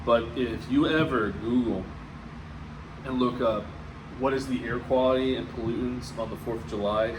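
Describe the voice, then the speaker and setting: A man's voice: medium pitch, steady tone, moderate pace. A man co-hosting a casual comedy podcast, blunt and emphatic, swearing heavily. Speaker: podcast co-host. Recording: echoey room with clear background noise.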